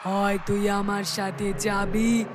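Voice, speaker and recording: A woman's voice: medium pitch, flat delivery, slow, music in the background, close to an everyday voice. A woman voicing a vengeful, gloating female tree ghost in a horror cartoon, with a threatening, cackling manner.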